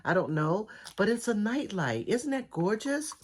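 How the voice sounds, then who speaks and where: A woman's voice: medium pitch, very animated, measured pace, slightly rough. Congested, chatty middle-aged woman. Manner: chatty, rambling, enthusiastic. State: congested, raspy voice. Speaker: thrift reseller vlogger. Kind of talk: casual haul video chat.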